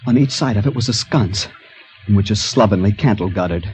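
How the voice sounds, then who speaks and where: An actor playing a polite, nervous young tourist in a radio drama, hesitant and well-mannered, on a lo-fi recording. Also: male voice, lowish voice, fairly quick, darkish tone, lightly acted. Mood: measured, brooding. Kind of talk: radio drama acting, with first-person narration. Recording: dull, lo-fi old radio recording.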